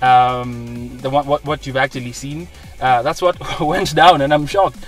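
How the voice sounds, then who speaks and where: A man's voice: mid-range voice, steady tone, measured pace, recorded from a distance, faint music behind. A man in his twenties with a Kenyan accent, talking casually to camera as a vlogger, earnest and emphatic. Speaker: vlogger, social media personality. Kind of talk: casual vlog talk to camera. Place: inside a parked car.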